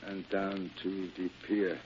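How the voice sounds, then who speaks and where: A hypnotized ship's captain in a 1940s radio drama. He speaks very slowly and low, obedient as if in a trance. The recording is old and dull. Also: completely flat delivery, a little mellow. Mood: entranced. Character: entranced male sea captain, obediently echoing orders. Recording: muffled old radio recording, faint noise.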